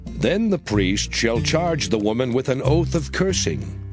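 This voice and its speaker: Expressive male scripture reader over music. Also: medium pitch, unhurried. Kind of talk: dramatized scripted Bible reading.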